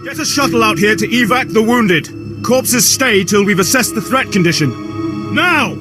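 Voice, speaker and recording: An actor playing a brusque, battle-weary Imperial army field officer in a video game cutscene. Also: male voice, high-pitched, expressive, normal speed, over music. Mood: angry, barking. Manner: brusque, military. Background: game score.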